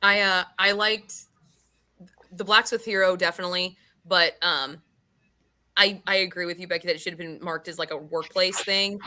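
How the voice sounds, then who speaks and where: A woman leads a relaxed book club discussion in a slightly low voice with a bright, sharp edge. Her way of talking is frank and informal. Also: normal speed.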